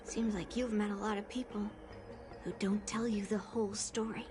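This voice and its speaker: A woman's voice: medium pitch, fairly even intonation, normal speed, natural voice. A woman voicing a headstrong young swordswoman in a video game, blunt, guarded and earnest. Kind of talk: English video game voice acting. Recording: music behind the voice.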